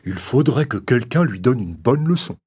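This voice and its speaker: A man voicing a doubtful, concerned forest animal in an animated children's story. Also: mid-range voice, normal speed, husky. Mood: disapproving.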